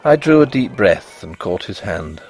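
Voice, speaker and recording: A man narrating a literary ghost story in the first person, in an expressive, theatrical manner. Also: deep voice, measured pace, slightly rough.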